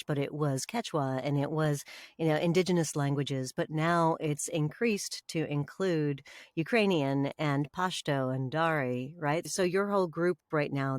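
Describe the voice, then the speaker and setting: A man's voice: on the high side, no room sound, studio quality. A man hosting an interview podcast in a conversational style.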